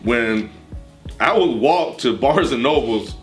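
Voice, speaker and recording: A man with a medium-pitched voice speaks as a music review panelist. His delivery is casual and explanatory, with slang and swearing, over faint background music. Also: animated, slow. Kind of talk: casual chat, reminiscing.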